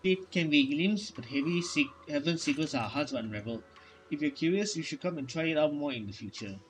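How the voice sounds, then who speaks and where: A man reading game dialogue aloud during a playthrough, halting and stumbling over words as he goes. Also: a little high, expressive, a little hiss or hum. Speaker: gaming streamer.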